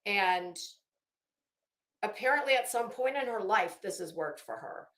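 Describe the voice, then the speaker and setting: A woman ranting casually as an online host, blunt and sarcastic, swearing freely and halting to stretch words for emphasis. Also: medium pitch, animated, a bit bright. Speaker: YouTube host. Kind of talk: casual livestream rant. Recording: echoey room.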